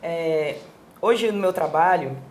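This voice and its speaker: Woman guest, lowish voice, measured, faint hiss behind. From Piauí, Brazil. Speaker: guest, psychologist. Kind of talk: conversational interview answers.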